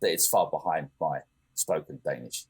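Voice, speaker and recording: A man's voice: rumbling low, normal speed, darkish tone, slightly rough. A man co-hosting a podcast, talking casually and hesitantly, with lots of fillers and self-corrections. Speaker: podcast co-host. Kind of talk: casual chat.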